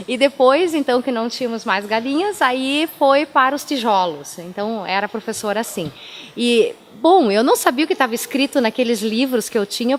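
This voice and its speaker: Native German speaker from southern Brazil; female pastor, interview guest. Slightly high voice, chatty anecdotal delivery. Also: slight background noise. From Timbó, southern Brazil. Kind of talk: radio interview, life storytelling.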